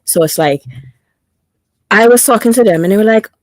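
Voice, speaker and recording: A woman's voice: mid-range voice, moderate pace, no room sound. A woman chatting casually, rambling and often restarting her sentences. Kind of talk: casual chat.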